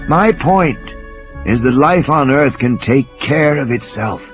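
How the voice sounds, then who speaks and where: A man's voice: mid-range voice, animated, a little slow, muffled recording, faint music behind, lightly acted. A man playing an exasperated, scornful intellectual who lectures with emphatic passion in a dramatised audiobook. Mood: impassioned, exasperated. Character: impassioned, scornful male intellectual lecturing from his sickbed. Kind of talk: dramatised audiobook character reading.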